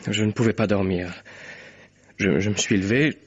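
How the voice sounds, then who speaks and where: A muffled radio-drama recording of a tormented young man. His voice is fairly low and husky. He speaks hesitantly, stammering and agitated, as if burdened by guilt. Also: normal speed. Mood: anguished, confessing. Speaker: actor in a radio mystery. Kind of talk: French radio mystery play acting.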